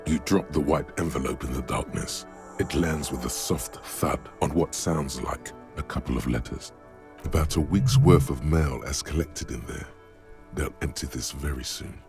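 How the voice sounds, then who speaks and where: A man voicing the brooding inner voice and narrator of a detective's mind in a game, theatrical and deliberate. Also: very deep voice. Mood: detached narration. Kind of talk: scripted game narration, second person. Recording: music behind the voice.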